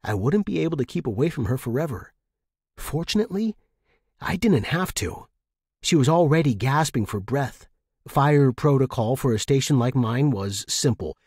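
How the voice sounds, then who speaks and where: A man's voice: mid-range voice, animated, moderate pace, darkish tone, breathy edge, dry recording, studio quality. A man reading scripted first-person fiction as a story narrator.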